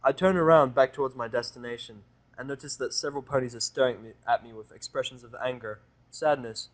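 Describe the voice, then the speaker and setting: A man's voice: medium pitch, fast. A man reading a first-person story aloud as dramatic narration for a fan audio drama.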